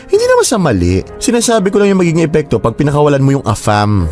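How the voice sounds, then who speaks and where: Gossipy flamboyant best friend, acted by a man, swooping lively pitch, radio drama, faint music behind. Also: very fast. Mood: nagging. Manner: theatrical, swooping exclamations.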